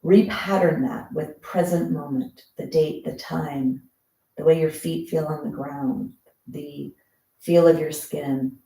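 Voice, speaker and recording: A breathwork teacher leading a guided breathing meditation with soft, slow, soothing instructions. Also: medium pitch, steady tone, vocal fry, recorded from a distance. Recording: roomy echo.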